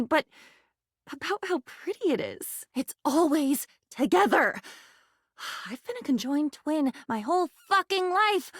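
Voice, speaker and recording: A woman's voice: sits high, no echo, studio quality. A woman voicing a living diary in a video game, bitter, wounded and theatrical, dramatic and sarcastic as she swings between confiding and outburst. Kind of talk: video game character voice acting.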